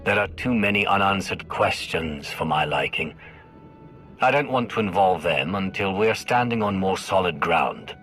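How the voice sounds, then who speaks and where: An actor playing a stern, resolute Sith hero in a video game cutscene, with a grave, commanding delivery. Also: male voice, low voice, lively intonation, moderate pace, fairly bright tone, soft background music.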